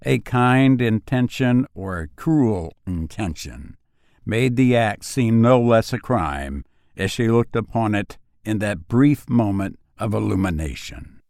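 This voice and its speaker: A man narrating a literary story from a script, with measured, dramatic phrasing. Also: lowish voice, lively intonation, darkish tone, no room sound, studio quality. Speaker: audiobook narrator.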